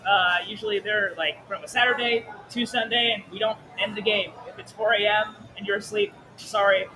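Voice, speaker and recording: A man's voice: high-pitched, fairly even intonation, fairly quick. A man speaking as a polite guest in a livestream interview, hesitant, with a tired, strained voice. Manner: polite, hesitant with many ums. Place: outdoor event. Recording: clear background event noise.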